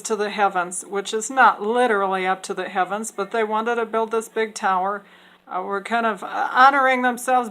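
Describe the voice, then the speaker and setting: A woman's voice: medium pitch, a bit bright, poor audio. A woman explaining scripture passages in an unscripted talk, earnest and hesitant, with many fillers and restarts. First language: English. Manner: earnest, opinionated. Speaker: speaker explaining Bible passages.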